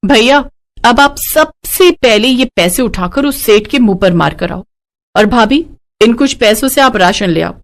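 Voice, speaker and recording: An earnest young woman from a poor family as a female storyteller plays her, with a high voice. She is tender-hearted and speaks with open emotion, in the manner of a cartoon moral tale. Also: animated, measured pace. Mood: excited. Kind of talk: animated moral story dialogue.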